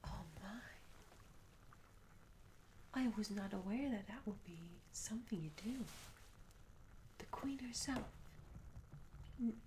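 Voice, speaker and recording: A woman playing a curious, polite medieval barmaid in a soft, breathy whispered ASMR roleplay, hesitant and courteous with old-fashioned formal phrasing. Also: medium pitch, slow, pauses often, noisy background, lightly acted. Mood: intrigued, apologetic. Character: curious, polite young medieval tavern barmaid, eager for tales.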